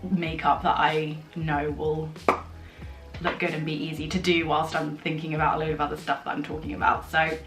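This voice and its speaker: A young woman, slightly husky from a sore throat, chatting and rambling through a get-ready-with-me vlog with many fillers. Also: low voice, little pitch movement, moderate pace, fairly bright tone. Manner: rambling, filler-heavy storytelling. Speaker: beauty vlogger. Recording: echoey room with faint music.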